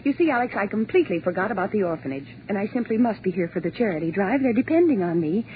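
A woman's voice: mid-range voice, lively intonation, quick pace, natural voice. A woman acting in a 1940s radio drama as a chatty young wife, polished and coaxing. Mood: persuasive. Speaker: radio actress. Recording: tinny, noisy 1940s radio recording.

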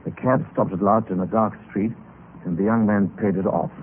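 A man acting a bored, cool gentleman adventurer who recounts his tale in a suave, formal, old-time radio style. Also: lowish voice, steady tone, normal speed, close to an everyday voice. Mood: grave. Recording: noisy, tinny old radio recording.

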